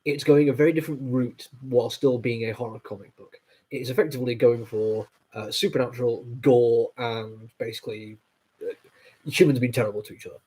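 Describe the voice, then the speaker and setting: A man with a heavy cold talks through a comic book review, his voice nasal and a little husky. He rambles casually, full of ums and apologies, at an ordinary middle pitch. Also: fairly even intonation, brisk, warm tone, low-quality recording. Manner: rambling, with many fillers and apologies. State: stuffy nose from a cold.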